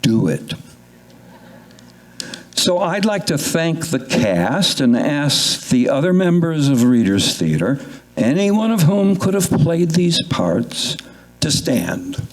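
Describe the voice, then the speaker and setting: An older man presenting at a live readers theatre event, giving thanks and announcements in a measured, formal way. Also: fairly high pitch, very animated, poor audio. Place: indoor live theatre event, speaking to an audience.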